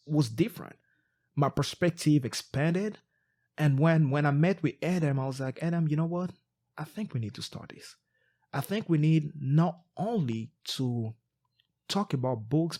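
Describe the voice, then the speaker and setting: A man's voice: fairly high pitch, a little mellow. A podcast co-host from Congo, a man whose first language is not English, telling his story in an earnest, reflective way with frequent restarts. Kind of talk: casual podcast chat.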